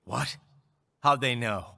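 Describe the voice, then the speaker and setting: An actor playing a gruff, defiant American cyborg ninja in a video game, terse and intense with a gravelly, raspy voice. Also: male voice, mid-range voice, takes it slowly, pushed and bright, long reverb tail, exaggerated voice. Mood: alarmed. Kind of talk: video game cutscene acting.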